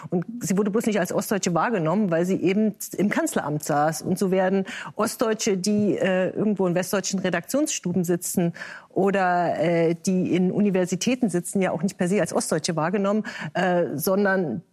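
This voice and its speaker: A woman's voice: on the low side, a little mellow. A woman from East Germany, a guest on a talk show panel, arguing her point firmly with frequent hesitations. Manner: measured, many hesitations, firm.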